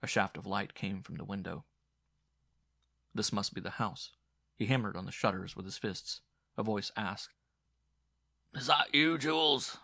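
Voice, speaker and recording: A man's voice: low voice, steady tone, normal speed, vocal fry, pauses often, fairly bright tone, husky, dry recording, clean studio sound. A man giving a dramatic scripted narration of a horror story as an audiobook narrator.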